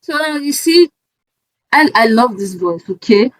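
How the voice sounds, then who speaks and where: Woman, tutorial host, slow pace, halting step-by-step manner, casual, dry sound. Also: fairly high pitch, animated, no echo. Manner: halting, step-by-step, often checking in with "okay". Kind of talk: casual screen-recorded software walkthrough.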